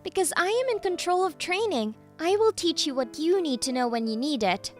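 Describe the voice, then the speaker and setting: Woman acting very high-pitched bossy anime office boss. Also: expressive, normal speed, faint music behind. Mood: stern, needling. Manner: commanding, needling. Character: bossy, teasing young female boss and jilted ex. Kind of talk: anime-style manga dub acting.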